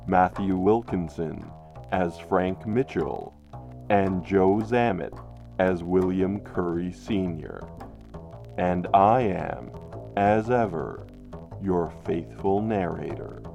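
Narrator for radio drama: man, deep and unhurried, reading from script over faint plucked guitar. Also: darkish tone. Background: plucked guitar music.

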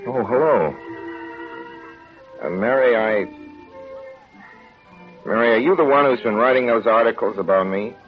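A man's voice: medium pitch, expressive, measured pace, long pauses, soft background music, close to an everyday voice. An actor playing a plainspoken, earnest small-town man in 1930s radio acting style, on a tinny, noisy broadcast. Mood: hurt.

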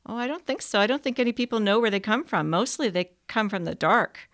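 A woman's voice: a little high, animated, a little fast, fairly bright tone, voice pushed up. A woman voicing a precocious, sober little boy who talks in an earnest, matter-of-fact way.

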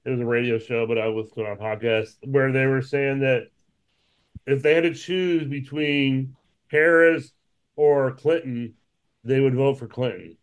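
A man's voice: mid-range voice, fairly even intonation, measured pace, clear, resonant tone, muffled recording. A man co-hosting a casual political chat show, speaking matter-of-factly in firm statements. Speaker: podcast co-host.

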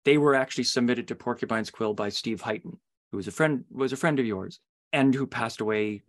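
A man's voice: medium pitch, brisk. A man hosting a conversational podcast interview, phrasing his thoughts in hesitant, broken-up pieces.

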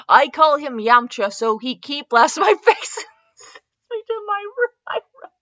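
A high-voiced woman gives a comedic dramatic reading at an unhurried pace. She is giggly and mock-dramatic, often interrupting herself with casual asides, and the sound is slightly dull and lo-fi. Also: a bit bright. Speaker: podcast host reading fanfiction.

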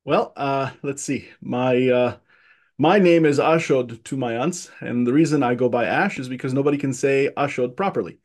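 A man's voice: medium pitch, normal speed, a little mellow. Earnest man recounting his family history. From Egypt. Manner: earnest, thoughtful, many restarts and fillers. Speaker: guest. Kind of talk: casual conversation, personal history.

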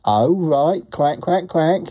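Guy doing a chirpy traveling duck, quacks after everything, soft mellow voice. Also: fairly high pitch, lively intonation, slow pace, lo-fi sound. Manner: tacks quacks onto every sentence. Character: cheerful globetrotting duck who quacks constantly.

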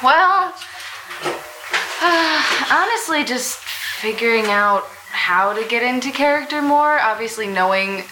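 A woman's voice: fairly high pitch, animated, slow pace. A young woman in her late teens chatting informally and jokingly as a guest on a live panel. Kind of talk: casual live panel chat. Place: live convention panel by a restaurant window. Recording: faint background noise.

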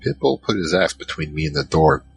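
An actor playing a giant troll, worried and soft-hearted, narrating in the first person in an audio drama. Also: male voice, a bass voice, very animated, a little fast, gravelly, exaggerated voice. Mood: recounting, uneasy. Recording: music behind.

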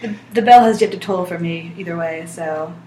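A woman's voice: lowish voice, normal speed. A young woman in casual podcast chat, rambling in an offbeat, dryly joking way. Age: young adult. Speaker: podcast co-host or guest. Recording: roomy echo, faint background noise.

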